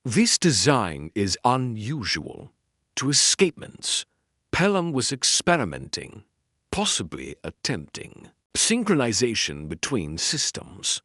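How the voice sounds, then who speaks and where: A man voicing a sharp, cool-headed Victorian detective who speaks in measured deductions. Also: medium pitch, wildly swooping pitch, no echo, studio quality, full character voice.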